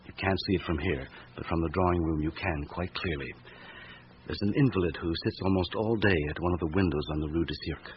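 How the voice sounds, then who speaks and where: Man playing a very deep-voiced police detective. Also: fast talker, close to an everyday voice. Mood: factual, earnest. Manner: factual, orderly reporting. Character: earnest, matter-of-fact police detective reporting to his chief. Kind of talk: radio drama acting. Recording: muffled old radio recording, background hiss.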